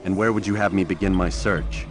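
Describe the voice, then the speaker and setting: An actor playing a cold, proud assassin in a video game, speaking tersely and seriously. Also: male voice, low voice, a little fast, noisy background, natural voice. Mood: curt, businesslike. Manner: curt, flat, serious.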